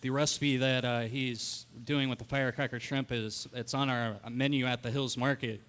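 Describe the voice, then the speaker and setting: A man's voice: medium pitch, steady tone, moderate pace, a bit bright, clear, resonant tone. A man, a chef, talking plainly and easily during a live cooking demonstration.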